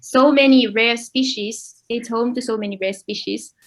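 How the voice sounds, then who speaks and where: A young adult woman from Namibia, a guest in a casual interview who explains things with pauses. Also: on the high side, low-quality recording. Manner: relaxed, explains with pauses and laughs.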